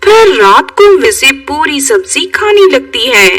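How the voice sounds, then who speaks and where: A woman narrating children's moral tales in an expressive storytelling style. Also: sits high, unhurried, recorded from a distance, over loud music.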